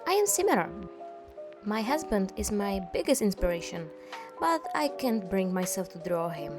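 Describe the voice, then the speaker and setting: A woman with a Czech accent narrating her art vlog in a gentle, dreamy, slightly playful way. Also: mid-range voice, sing-song, normal speed. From Czech Republic. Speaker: artist vlogger. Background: music.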